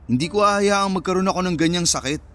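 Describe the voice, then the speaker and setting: A higher-voiced cocky young ex-boyfriend. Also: male voice, fast talker, fairly bright tone. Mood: defensive, dismissive. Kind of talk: Filipino radio drama acting. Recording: music bed under the dialogue. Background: music.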